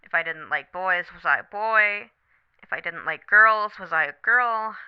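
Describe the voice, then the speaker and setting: Woman acting as supportive best friend in asmr phone roleplay, smooth mid voice, casual n gentle, candid, bit muffled. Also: on the low side, unhurried, natural voice. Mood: reflective, vulnerable. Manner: casual, gentle, hesitant and thoughtful. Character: supportive best friend, candid, teasing, on a late-night call. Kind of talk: scripted ASMR roleplay, one side of a phone conversation.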